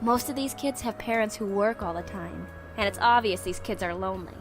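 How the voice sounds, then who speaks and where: A woman playing a prickly but secretly soft-hearted young woman in a visual novel game, with a guarded, defensive manner. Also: mid-range voice, fairly quick, soft background music, close to an everyday voice. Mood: earnest, softened. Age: young adult.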